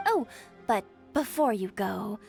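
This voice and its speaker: A woman's voice: medium pitch, animated, slow pace, gravelly, a little mellow, soft background music. A woman playing a shrewd, worldly merchant in an anime-style game, with a coy, polished, knowing way of talking. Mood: sly, knowing. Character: shrewd, worldly female merchant selling information.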